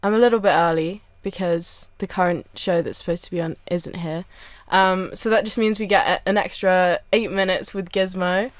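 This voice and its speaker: A woman's voice: fairly low pitch, steady tone, moderate pace, few pauses, no echo. A woman hosting a radio show who asks friendly, hesitant questions and adds short backchannels. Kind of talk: casual interview hosting.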